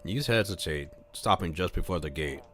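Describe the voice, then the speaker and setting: Low-voiced man reading aloud over music. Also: expressive, normal speed, a bit bright. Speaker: streamer reading a visual novel aloud. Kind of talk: live read-aloud with character voices and casual asides. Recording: music playing behind the voice.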